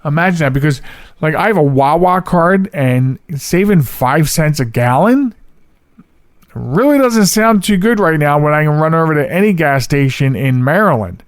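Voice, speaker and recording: A man's voice: a little high, animated, normal speed, smooth, dry recording, studio quality. An American man hosting a podcast alone, chatting casually in a rambling way and correcting himself as he goes. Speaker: podcast host. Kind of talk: casual solo podcast chat.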